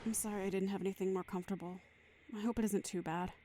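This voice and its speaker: A woman's voice: medium pitch, fairly even intonation, quick pace, heavy background noise, close to an everyday voice. Woman acting a tired, caring mom. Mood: gentle, reassuring. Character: caring, tired mother hosting a sick young guest. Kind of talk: audio drama acting.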